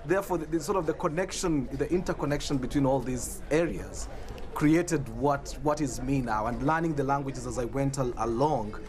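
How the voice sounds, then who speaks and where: A man's voice: medium pitch, normal speed, crowd noise. A man, speaking earnestly in a live interview in a busy newsroom. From Uganda and Kenya. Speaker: journalist, interviewee. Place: busy open-plan newsroom.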